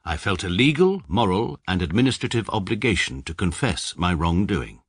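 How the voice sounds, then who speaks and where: A pedantic English barrister. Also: male voice, deep voice, sing-song. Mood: dry. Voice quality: measured, dry, pedantic. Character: precise, pompous adult male barrister narrating. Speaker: narrator, adult lead character. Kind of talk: radio drama narration.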